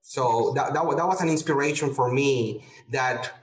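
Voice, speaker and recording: Man, halting, in a roomy space. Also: medium pitch. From Peru. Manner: halting, many restarts and fillers. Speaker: guest real estate investor. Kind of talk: casual interview talk.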